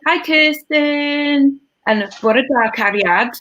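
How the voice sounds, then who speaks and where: A woman's voice: sits high, takes it slowly, fairly bright tone, highs cut off, slight background noise. A Welsh woman hosting a casual live stream, chatting in a rambling way with lots of fillers and restarts. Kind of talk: casual chat.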